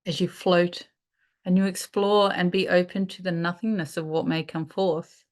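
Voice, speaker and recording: A woman leading a guided meditation, speaking in a soothing, unhurried way with pauses between phrases. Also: on the low side, level tone, a little mellow.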